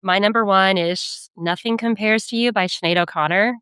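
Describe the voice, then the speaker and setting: A woman's voice: medium pitch, moderate pace, a bit bright, clear, resonant tone. A woman co-hosting a podcast, talking in a casual, conversational way. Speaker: podcast co-host.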